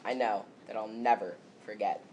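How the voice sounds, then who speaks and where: A man's voice: lowish voice, fairly even intonation, moderate pace, a little mellow. A male student performer reciting a scripted readers theater piece. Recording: faint background noise.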